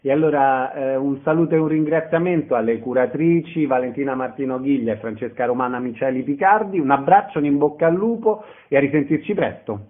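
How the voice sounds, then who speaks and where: A man's voice: medium pitch, a little fast, hardly any pauses. A man hosting a radio interview, with a narrow, telephone-like sound.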